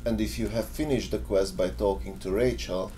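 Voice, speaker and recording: A man's voice: lowish voice, steady tone, moderate pace, mellow, soft background music, poor audio. A man presenting a game mod in scripted, explanatory video narration.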